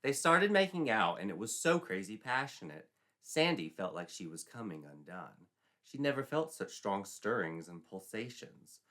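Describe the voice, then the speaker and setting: Bright, forceful male storyteller, theatrical. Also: fairly low pitch, sing-song, normal speed. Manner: mock-dramatic, arch. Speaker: storyteller, narrator. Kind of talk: theatrical comic scripted narration.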